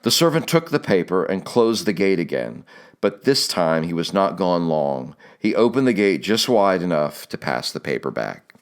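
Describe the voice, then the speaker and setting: A man's voice: low voice, very animated, normal speed, clean tone. A man reading a literary audiobook as scripted narration.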